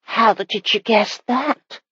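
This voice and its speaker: An anxious young wife from a medieval romance audiobook as a woman narrator acts her. Her voice is husky and breathy, with pleading, drawn-out emotional delivery. The recording is close, dry and slightly dull. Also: on the high side, sharp tone, dry recording, voice pushed up, exaggerated voice.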